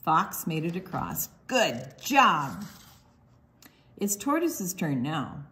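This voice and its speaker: A woman reading a picture book aloud to young children, expressively, drawing out words and slipping into hushed asides. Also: on the low side, sing-song, takes it slowly. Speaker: children's storytime reader.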